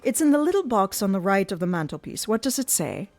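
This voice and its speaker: Masked male ventriloquist, taunting and theatrical, slow gloating delivery that swoops high, put on by a woman reader; faint music underneath. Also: very animated, a little mellow.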